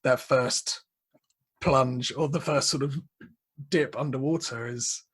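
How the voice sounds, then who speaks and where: A man hosting a podcast, asking curious follow-up questions in a relaxed conversational way. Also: mid-range voice, slow pace, slightly rough. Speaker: podcast host. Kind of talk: casual interview questions.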